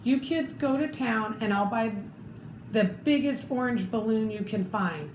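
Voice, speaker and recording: A woman's voice: a little high, animated, measured pace, darkish tone. A woman voicing a kindly, scheming neighbour woman from a children's Halloween storybook. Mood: helpful. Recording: echoey room with faint noise.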